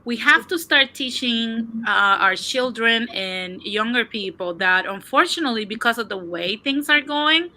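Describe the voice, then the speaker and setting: A woman joins a streamed call as a guest and shares her views. Her voice is very bright and a little high, and she often restarts a point while she works it out. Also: lively intonation, unhurried, few pauses, rough recording. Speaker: guest on a livestream. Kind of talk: casual opinion discussion.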